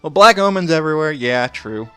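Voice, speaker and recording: A man's voice: on the high side, animated, fairly quick, bright, forceful tone, clean tone, soft background music. A thirty-year-old man hosting a casual let's play, commenting on the game and often chuckling between remarks. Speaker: gameplay video host.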